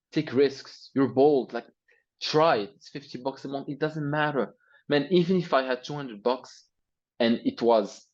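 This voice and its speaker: A man's voice: mid-range voice, highs cut off. A young man with an Arabic accent, speaking English as his third language, giving a blunt, profane, emphatic interview answer. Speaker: guest. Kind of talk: interview, motivational rant.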